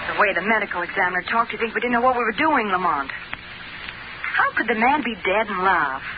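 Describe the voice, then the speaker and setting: An actress playing an inquisitive, indignant sleuth's companion in an old-time radio drama, insistent and questioning. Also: female voice, mid-range voice, animated, brisk, over loud music, natural voice. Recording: muffled, noisy old-time radio recording.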